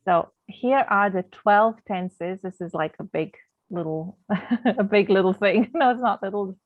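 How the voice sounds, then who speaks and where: A woman's voice: medium pitch, measured pace, dry recording. A woman who grew up in Canada, learned English as a second language, and teaches grammar in a patient, explanatory way with many fillers and restarts, speaking on a video call. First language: not English. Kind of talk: online grammar lesson. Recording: tinny phone-like call audio.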